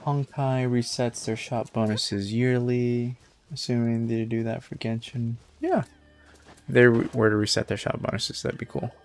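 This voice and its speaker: A man's voice: medium pitch, steady tone, a little slow. A man doing casual gameplay commentary as a streamer. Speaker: game streamer. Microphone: streamer's microphone. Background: faint game music.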